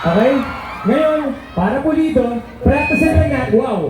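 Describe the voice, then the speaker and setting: A man's voice: very high voice, expressive, moderate pace, shouting, over music. A Filipino man, a band frontman, bantering playfully with a concert audience between songs and hyping them up through a stage microphone. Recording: echoey live concert PA, crowd noise.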